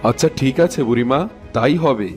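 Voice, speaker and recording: A man's voice: medium pitch, fairly quick, darkish tone, natural voice. Earnest, anxious king in a cartoon, acted by a man. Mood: troubled. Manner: earnest, pleading. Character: anxious, pleading fairy-tale king, fond husband and father. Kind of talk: fairy-tale cartoon acting. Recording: music behind the voice. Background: music.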